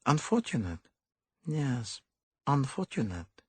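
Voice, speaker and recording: A man's voice: mid-range voice, big pitch swings, slow, a little mellow, breathy edge, no echo, pitched above the performer's own voice, full character voice. A man voicing a fussy, silky detective with a put-on French accent. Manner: precise, silky, probing.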